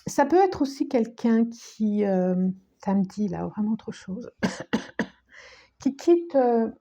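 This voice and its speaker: A woman with a cold giving a tarot reading, speaking slowly with many restarts and repetitions, sometimes dropping to a near-whisper. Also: a little high, very animated, dark tone, dull audio. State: has a cold, coughing. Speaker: tarot card reader.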